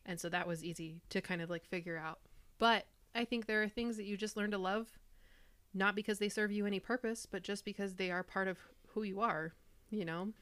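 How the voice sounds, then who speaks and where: Deep-voiced young woman, candid chat. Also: steady tone, brisk, a bit bright. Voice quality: naturally deep voice. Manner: candid, reflective storytelling. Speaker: podcast co-host. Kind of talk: casual chat.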